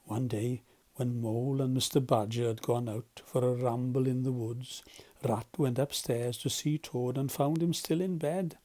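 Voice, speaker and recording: A man reading a children's story aloud as a volunteer storyteller, with expressive scripted narration. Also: medium pitch, measured pace.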